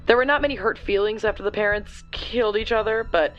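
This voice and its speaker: Brash young tech expert in an audio drama, played by a woman: wry, slangy, explanatory, medium pitch, light music underneath. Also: quick pace, lo-fi sound, natural voice. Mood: wry, briefing. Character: brash tech-savvy young woman briefing a superhero teammate. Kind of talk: audio drama acting, conversational exposition.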